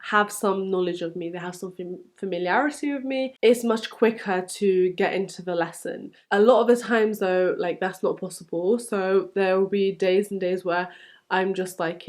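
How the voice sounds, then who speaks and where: A young woman with a London English accent, giving encouraging advice in a casual, conversational vlog style as a supply teacher. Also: medium pitch. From London, England.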